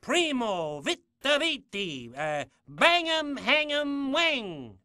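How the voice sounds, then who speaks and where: A man voicing a small, cranky, wisecracking undead sidekick creature in a video game, whiny and excitable. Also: very high-pitched, slow pace, no room sound, studio quality, full character voice. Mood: unsure chanting. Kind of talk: cartoonish video game acting.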